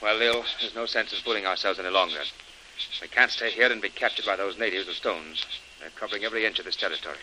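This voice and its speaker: A steady hero in an old radio serial, talking fast in a brisk, clipped way. His voice sits a little low but has a bright, sharp edge. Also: a little hiss or hum, poor audio. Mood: urgent. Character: steady male hero leading a desperate escape. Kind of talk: 1930s radio acting.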